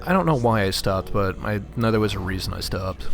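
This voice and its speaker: A man's voice: fairly low pitch, animated, brisk, creaky. A man giving casual, conversational game commentary as a co-commentator, with hesitant, reflective phrasing.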